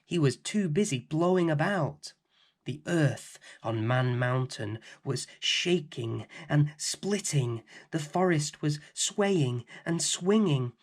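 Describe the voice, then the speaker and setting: A man reading a children's bedtime story aloud as host, in an expressive, dramatic, theatrical manner. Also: fairly high pitch. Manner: dramatic, theatrical, deliberate phrasing.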